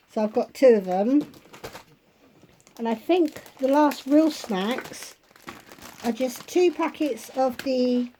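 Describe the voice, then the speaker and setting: A woman vlogger at home, chatting casually through a shopping haul and listing prices, her voice going hoarse. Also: on the high side, warm tone, a little hiss or hum. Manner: chatty, unhurried, itemizing prices.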